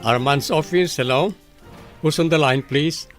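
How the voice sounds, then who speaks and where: A man's voice: fairly high pitch, a little slow, over music, close to an everyday voice. An actor playing a commanding, well-off man giving curt orders in a radio drama. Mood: businesslike, urgent. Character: commanding well-off male boss and expectant father. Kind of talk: Filipino radio drama acting.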